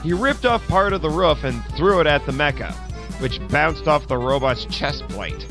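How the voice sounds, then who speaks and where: A man narrating a scripted first-person adventure story in a theatrical, expressive way, acting out his own dialogue as he goes. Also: fairly high pitch, moderate pace, over loud music. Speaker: podcast host narrating an audio story.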